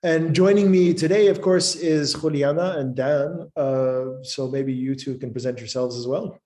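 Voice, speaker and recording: A man's voice: mid-range voice, unhurried, dark tone. A middle-aged man from Canada, a professor, presenting a webinar over a video call with frequent ums and restarts. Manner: hesitant, many ums and restarts. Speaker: professor and programme convener.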